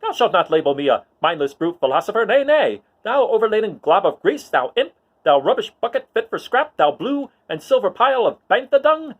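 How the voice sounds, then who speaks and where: A man's voice: sits high, very animated, fairly quick, voice pushed up, heavily acted. A man voicing a fussy, indignant protocol droid, haughtily exclaiming Shakespearean insults in a dramatic reading. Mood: indignant scolding.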